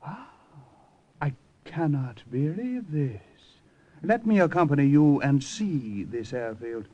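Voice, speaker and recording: An actor playing a courtly, gallant Siamese colonel in an old-time radio drama, speaking in a polished, formal, measured way. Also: male voice, fairly high pitch, expressive, warm tone. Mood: smooth, evasive. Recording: dull vintage radio recording.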